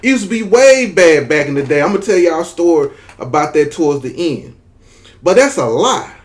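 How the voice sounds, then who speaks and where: A man's voice: fairly high pitch, very animated, brisk. A man from Mississippi with a Southern drawl, giving emphatic, repetitive opinion commentary straight to his viewers. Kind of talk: casual opinion commentary to camera.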